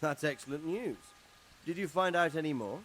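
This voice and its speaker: A man's voice: a little high, lively intonation, normal speed, a bit bright, a little hiss or hum. A man playing a pious, concerned figure in a video game, formal and given to exclamation. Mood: welcoming, interested. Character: pious, concerned man receiving a young agent's report. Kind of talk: dramatic video game cutscene acting.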